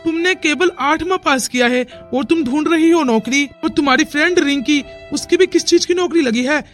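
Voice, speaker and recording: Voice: sits high, a little fast, fairly bright tone. A performer voicing an earnest, persuasive young village man in a cartoon moral story. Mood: insistent, reassuring. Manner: insistent, reassuring. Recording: music behind the dialogue.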